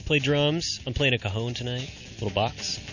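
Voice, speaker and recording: A man's voice: mid-range voice, normal speed, fairly bright tone. A man who plays drums, joking and laughing as a guest on a radio show. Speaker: guest musician, drummer. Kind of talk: casual radio interview. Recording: slightly dull radio recording with music.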